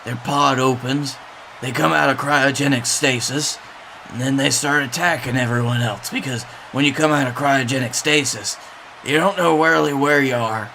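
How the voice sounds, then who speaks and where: A man playing a deadpan color commentator on a wrestling show, explaining things matter-of-factly. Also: medium pitch, moderate pace, creaky, slight background noise. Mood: earnest, deadpan. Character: deadpan male color commentator, Captain Tibbs.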